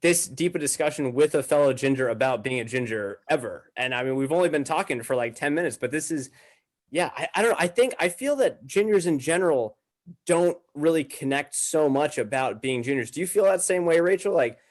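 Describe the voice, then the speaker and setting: A man talking casually as a podcast guest, halting and hedging, with dry humor. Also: on the high side, expressive.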